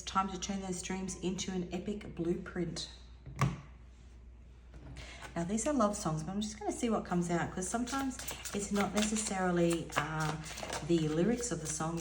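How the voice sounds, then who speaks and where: Lowish hoarse lady doing a tarot reading, soft musing talk, echoey room w/ faint hiss. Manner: musing, soft-spoken, drifts into near-whispers. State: slightly hoarse, losing her voice. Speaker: tarot reader.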